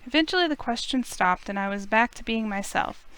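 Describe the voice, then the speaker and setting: A woman's voice: on the high side, lively intonation, fairly quick, a bit bright, faint music behind. A woman playing an earnest, anxious young heiress, narrating her own story in a visual novel. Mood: uneasy, reflective. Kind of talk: scripted first-person visual novel narration.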